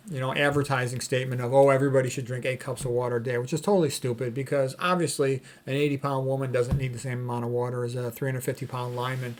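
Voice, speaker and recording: Blunt, informal man explaining health advice. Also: medium pitch. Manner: blunt, opinionated, colloquial. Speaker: health educator, medical doctor. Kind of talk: informal explanatory talk with quoted notes.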